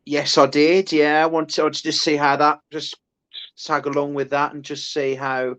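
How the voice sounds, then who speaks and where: A man's voice: a little high, level tone, normal speed, a bit bright. A man, co-hosting a podcast, who rambles in casual chat with many restarts. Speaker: podcast co-host.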